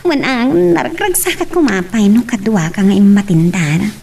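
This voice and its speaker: A woman playing a chatty, playful young wife in an audio love drama, with a Filipino accent and an animated, giggly delivery. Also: medium pitch, moderate pace, creaky, breathy edge, recorded from a distance. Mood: cheerful, affectionate.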